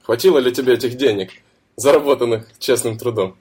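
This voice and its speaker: A man's voice: medium pitch, brisk, darkish tone, breathy edge. A man hosting a casual interview, asking curious questions in loose, roundabout phrasing. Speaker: interview host.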